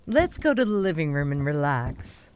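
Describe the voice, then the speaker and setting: A woman's voice: sits low, very animated, normal speed, slight background noise. A woman playing an attentive middle-aged wife hosting friends at a cabin, in an amateur radio drama. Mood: homely. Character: middle-aged wife Teresa, warm hostess. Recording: music score behind.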